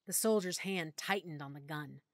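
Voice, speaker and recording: A woman narrating a full-cast audiobook in first person, with expressive, clear storytelling. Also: low-pitched, moderate pace, no echo, studio quality. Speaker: narrator and lead character.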